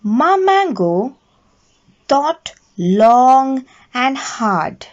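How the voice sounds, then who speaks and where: A woman reads a bedtime story for children at a very slow, careful pace. Her voice is fairly high and swings widely in melody. She phrases deliberately and expressively, as in a read-along, on a slightly dull recording. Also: sing-song. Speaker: storyteller reading aloud.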